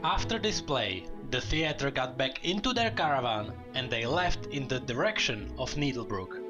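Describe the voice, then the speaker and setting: A man narrates a tale with a dramatic storyteller's manner. His pitch moves in lively swings, and music plays clearly behind his voice. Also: normal speed, lo-fi sound. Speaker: lore video narrator.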